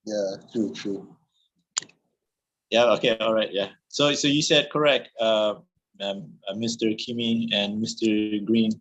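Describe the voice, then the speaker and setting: A man learning English on a video call, agreeable and halting. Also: fairly low pitch, fairly even intonation, a bit bright. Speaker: English learner in an online class. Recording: dull lo-fi call audio.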